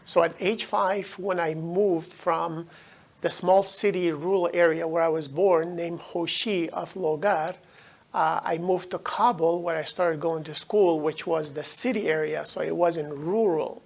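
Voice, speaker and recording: A middle-aged man from Afghanistan, a native Dari speaker, giving a measured, explanatory recorded lecture as a professor. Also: fairly high pitch, fairly even intonation, vocal fry.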